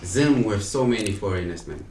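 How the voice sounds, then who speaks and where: A young man, a podcast guest talking in a relaxed way with short agreeing replies. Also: on the low side, brisk. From Zimbabwe. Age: young adult. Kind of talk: casual podcast discussion. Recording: echoey room with faint noise.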